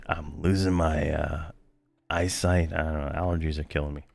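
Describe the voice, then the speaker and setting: A man commentating his own gameplay in a rambling, laid-back way, full of fillers, his voice hoarse from losing it. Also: very deep voice, little pitch movement, unhurried, a little mellow, no echo. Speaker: game video host.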